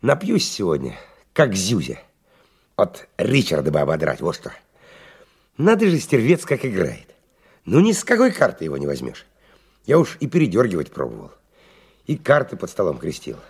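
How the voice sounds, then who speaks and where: An actor in a radio play voicing a hard-drinking, world-weary male stalker, brooding and coarse in his colloquial speech, with a husky low voice. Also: wildly swooping pitch, normal speed, pauses often, a little mellow, full character voice. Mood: nervy, bitter. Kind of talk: radio play monologue and dialogue.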